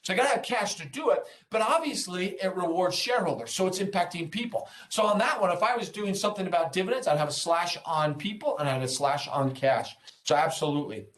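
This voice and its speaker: A man's voice: sits high, expressive, quick pace, few pauses, fairly bright tone. A man presenting an interactive business webinar, explaining things informally and encouragingly and checking in with his listeners as he goes. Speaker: webinar presenter, senior consultant and trainer. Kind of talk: interactive business lesson, explaining earnings call.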